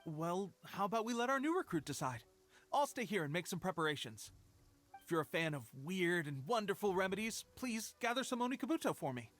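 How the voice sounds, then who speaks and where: Keen chatty young soldier for a game, pretty high voice, earnest. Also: male voice, big pitch swings, fairly quick, fairly bright tone, soft background music. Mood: helpful, hopeful. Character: eager, boastful, friendly young male resistance soldier. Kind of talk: animated video game acting.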